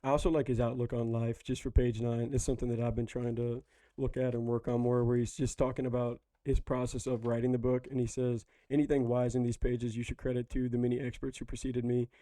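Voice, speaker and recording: A hoarse man chatting casually about a book with friends, rambling with lots of fillers. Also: medium pitch, flat delivery, fairly quick, mellow, dry recording, low-quality recording. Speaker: book club host.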